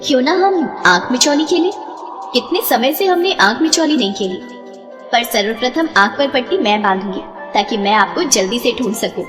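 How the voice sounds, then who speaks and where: A woman's voice: on the high side, expressive, normal speed, fairly bright tone, in a large hall, faint music behind. A woman voicing a playful, teasing goddess and devoted wife in a devotional story, speaking sweetly and formally. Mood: playful, eager. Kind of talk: animated devotional story dialogue.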